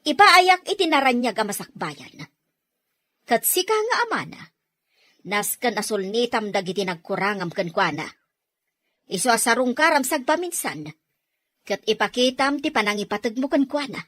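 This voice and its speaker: Earnest, high-voiced aunt. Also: female voice, expressive, normal speed, bright, forceful tone, dry recording, clean studio sound. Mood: earnest, urging. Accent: Filipino (Ilocano). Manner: earnest, moralizing. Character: righteous aunt, reproving younger sister of the father. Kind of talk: radio drama acting.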